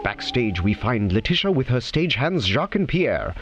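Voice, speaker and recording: A man's voice: mid-range voice, very animated, normal speed, dull audio. A man narrating an audio drama as scripted storytelling, with a theatrical delivery. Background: prominent music.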